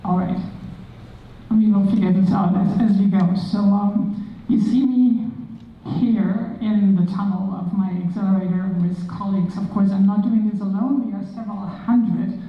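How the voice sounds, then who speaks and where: A woman's voice: mid-range voice, steady tone, normal speed. German-accented woman in an echoey hall. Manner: chatty, many fillers, self-deprecating. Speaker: guest physicist speaker. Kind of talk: informal public talk. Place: school auditorium. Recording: echoey hall, distant mic, dull.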